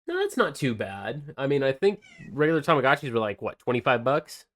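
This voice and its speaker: A man's voice: mid-range voice, very animated, brisk. A man co-hosting a podcast, chatting casually about games and rambling with lots of fillers and restarts. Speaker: podcast co-host presenting game news.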